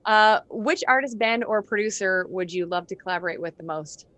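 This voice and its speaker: A woman's voice: medium pitch, normal speed, a bit bright. A woman hosting a casual entertainment interview. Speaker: show host, interviewer.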